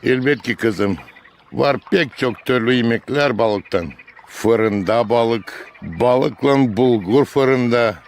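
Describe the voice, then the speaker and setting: Gentle old grandpa in a cartoon, slow storytelling, mid pitch. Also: male voice, a little hiss or hum. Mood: warm. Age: older. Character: kindly grandfather figure, patient elder. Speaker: grandfather character. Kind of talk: cartoon voice acting.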